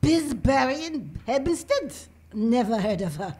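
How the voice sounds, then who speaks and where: A woman doing a sullen, disfigured lab assistant. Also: high voice. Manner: sullen and deadpan, slow and blunt.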